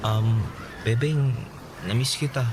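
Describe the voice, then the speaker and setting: A man's voice: mid-range voice, steady tone, slow pace, noisy background, close to an everyday voice. A man playing an eager, lovestruck young fairground boy in a Filipino radio drama, earnest, hesitant and pleading. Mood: shy.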